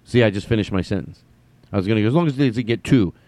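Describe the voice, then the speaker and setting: A man's voice: on the low side, very fast, a little mellow, dry recording. A man hosting a solo comedy podcast, rambling and correcting himself as he jumps between thoughts. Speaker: podcast host. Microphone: headphones on, studio mic.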